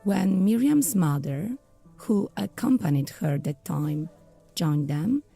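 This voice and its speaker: A woman narrating a scripted period audio drama in a literary, measured storytelling manner. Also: lowish voice, big pitch swings, vocal fry, warm tone, faint music behind.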